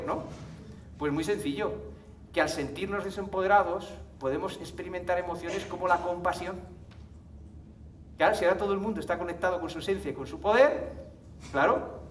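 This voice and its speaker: High-voiced man lecturing a hall. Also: a little hiss or hum. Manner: conversational, frequent tag questions and laughs. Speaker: conference speaker. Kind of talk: live talk to an audience. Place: conference hall with audience. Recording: echoey hall, mic from far off.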